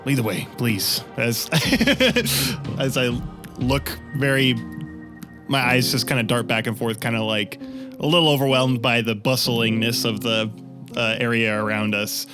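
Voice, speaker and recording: A man takes part in a casual tabletop roleplay with clear music behind him. His mid-pitched voice is hesitant, halting between short phrases. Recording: music under the voice. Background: background music.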